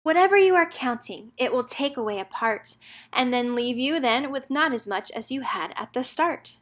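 A woman with a high-pitched voice reads a children's picture book aloud. She narrates expressively, following the rhythm of rhyming verse. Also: lively intonation, moderate pace.

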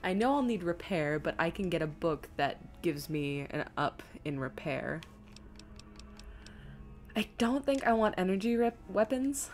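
A woman giving casual live game stream commentary, chatty and thinking out loud as she reads things aloud. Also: on the low side, lively intonation, measured pace.

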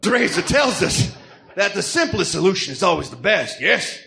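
A man's voice: high voice, sing-song, normal speed, creaky, sharp tone, exaggerated voice. A man voicing a grandiose, theatrical Greek ex-villain tycoon in a comedic radio drama. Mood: righteous, persuasive. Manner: pompous, theatrical. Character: flamboyant Greek ex-villain tycoon, grandiose, smooth self-justifying showman.

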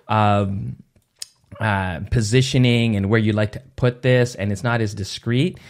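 A man in his thirties hosting a screen-recorded software tutorial, explaining step by step in a casual way, recorded in a small home studio on a boom mic. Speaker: tutorial host. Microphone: boom mic on arm near face.

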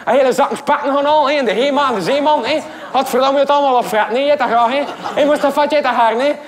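A man with a Flemish accent playing an overbearing, teasing neighbour in a stand-up bit, shouty, repetitive and needling. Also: extremely high pitch, rapid-fire, some chatter behind. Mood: pushy teasing. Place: large theatre stage.

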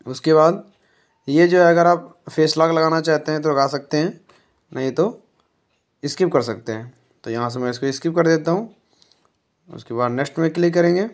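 A man calmly walking viewers through a phone reset step by step, often filling pauses with "jo hai". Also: a little high. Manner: unhurried, explanatory, fills pauses with "jo hai". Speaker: tech tutorial presenter.

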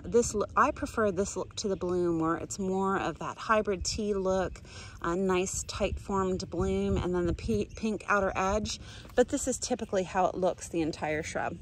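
Woman host, casual garden-tour vlog, leisurely pace with fillers; outdoor phone audio, clear background ambience. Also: medium pitch, slight background noise, rough recording. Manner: slow, relaxed, thinking aloud with fillers. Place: outdoors on a wooden pier over open water. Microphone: handheld phone camera, speaker off screen.

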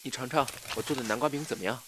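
A man's voice: medium pitch, very fast, fairly bright tone, a little hiss or hum, close to an everyday voice. A man playing a jealous young ex-boyfriend with insincere sweetness and a pointed, theatrical delivery in an audio drama. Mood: sweet. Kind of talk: Chinese audio drama acting.

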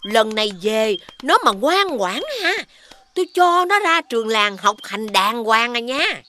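Nagging cartoon village aunt, high and very animated, sarcastic, over loud music. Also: female voice, a little slow, a little hiss or hum, heavily acted. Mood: grumbling, exasperated. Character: scolding, sharp-tongued village aunt, exasperated with her nephew. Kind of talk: animated fairy-tale cartoon acting.

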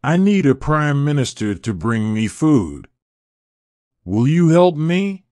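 A woman voicing an old, weak male lion king in a storybook, gruff and demanding. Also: low-pitched, very animated, takes it slowly, warm tone, no room sound, studio quality, pitched below the performer's own voice, exaggerated voice. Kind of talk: storybook reading.

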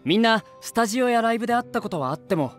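A male actor playing a lively, frank young band singer in an anime voice drama, excitable and casually polite. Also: extremely high pitch, rapid-fire, faint music behind. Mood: enthusiastic.

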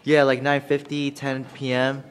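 A man's voice: medium pitch, completely flat delivery, measured pace. A man in an audience giving casual, conversational feedback. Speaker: audience member filming for YouTube.

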